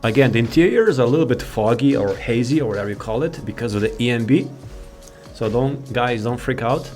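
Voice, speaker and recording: A man's voice: mid-range voice, expressive, brisk, darkish tone. A man giving casual, rambling commentary as he shows off a modded game. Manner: rambling, informal, easily sidetracked. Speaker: gaming video host. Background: faint game music.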